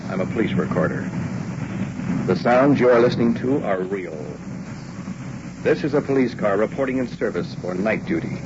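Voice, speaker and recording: A man hosting as a police recorder, giving an earnest scripted documentary introduction in 1950s radio style. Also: lowish voice. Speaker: police recorder and program host. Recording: muffled 1950s field recording, loud vehicle noise.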